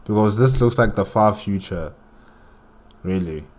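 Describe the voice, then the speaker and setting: A man with a fairly low voice gives casual reaction commentary. He muses out loud and puts questions to himself as he goes. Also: measured pace. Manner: musing, questioning aloud. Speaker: reaction video host.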